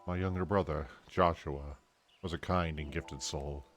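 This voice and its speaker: A man voicing a brooding young warrior in an amateur game dub, reading slowly and solemnly. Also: a bass voice, fairly even intonation, fairly bright tone, soft background music. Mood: somber. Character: brooding young male warrior, guilt-ridden and grave.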